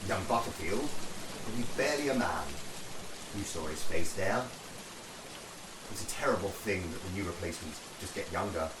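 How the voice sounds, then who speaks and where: An actor playing an avuncular upper-class army officer in a wartime radio play, with a hearty, old-fashioned way of talking. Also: male voice, sits low, lively intonation, moderate pace, long pauses. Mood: grave. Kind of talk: period war radio drama acting. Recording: echoey, distant, loud rain noise.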